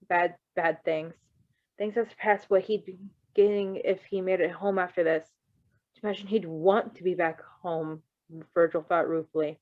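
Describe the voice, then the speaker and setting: A woman reading fan fiction aloud with casual asides, expressive and emotionally invested in the story. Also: fairly low pitch, no room sound, phone-quality sound.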